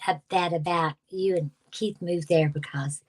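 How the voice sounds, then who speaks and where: Older woman telling a slow reflective story. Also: on the low side, fairly even intonation, a little mellow. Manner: reflective storytelling with many restarts. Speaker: guest psychic reader. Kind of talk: casual conversation.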